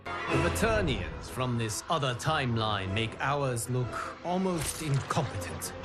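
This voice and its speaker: A man playing a solemn godlike guardian of timelines, narrating video game cutscenes in a formal, measured, dramatic way. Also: medium pitch, big pitch swings. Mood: grave, urgent. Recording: loud cinematic music behind. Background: loud music.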